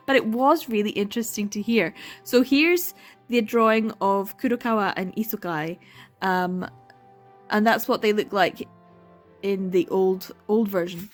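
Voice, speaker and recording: Exasperated young woman reviewing manga. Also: mid-range voice. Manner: exasperated, sighing and laughing, dramatic repetition. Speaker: book vlogger. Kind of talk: casual reading vlog commentary.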